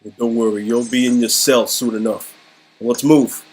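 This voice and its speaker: A man playing a gruff, impatient guard who orders prisoners around in a curt, husky voice. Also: mid-range voice, fairly even intonation, normal speed, darkish tone, soft background music, natural voice.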